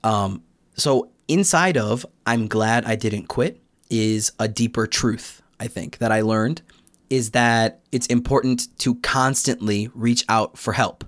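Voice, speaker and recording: A man's voice: mid-range voice, slow pace, dry recording, studio quality. A young American man talking reflectively as a podcast guest, explaining his thoughts in a casual conversational way. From United States. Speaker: podcast guest, Korean learner.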